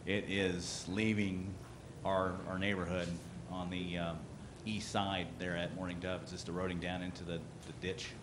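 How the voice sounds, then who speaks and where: A man's voice: low voice, flat delivery, moderate pace, hardly any pauses, background noise. A man making a blunt, frustrated public comment at a community meeting.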